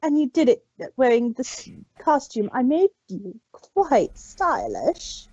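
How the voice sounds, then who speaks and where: A man's voice: extremely high pitch, very animated, takes it slowly, a little mellow, slightly rough, no echo, dull audio, pitched above the performer's own voice, heavily acted. A man voicing a refined, stylish female cartoon pony who is proud and pleased with herself.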